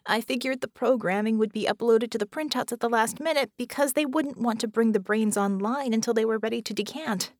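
A woman narrator voicing an excited young woman engineer, talking breathlessly in a high, rushing voice. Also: no echo, clean studio sound, lightly acted. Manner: breathless, quick, high-pitched. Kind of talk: audiobook narration.

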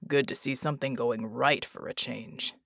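A woman narrator voicing a gruff male soldier with dry humor, speaking in a slow, weary, low drawl. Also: steady tone, a bit bright, no echo, heavily acted. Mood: gentle. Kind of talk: audiobook narration.

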